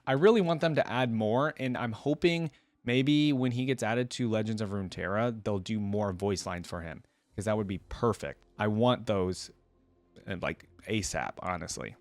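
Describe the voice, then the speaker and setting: A man's voice: fairly low pitch, moderate pace, dry recording. A man hosting a reaction video, giving casual, unscripted commentary to viewers in a rambling, conversational way.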